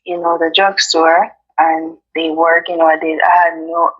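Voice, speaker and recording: A woman's voice: low-pitched, flat delivery, a little slow, slightly rough, muffled recording. A woman from Jamaica with a Jamaican accent, a guest chatting casually in an interview, talking easily with many ums and you-knows.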